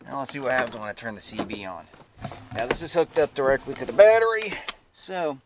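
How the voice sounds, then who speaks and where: A man's voice: medium pitch, fairly quick. A middle-aged man giving a casual how-to narration into a handheld camera outdoors. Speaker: vlogger showing his truck project. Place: outdoors by a vehicle in a residential yard. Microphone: handheld camera mic at arm's length. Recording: clearly noisy handheld outdoor recording, clicks.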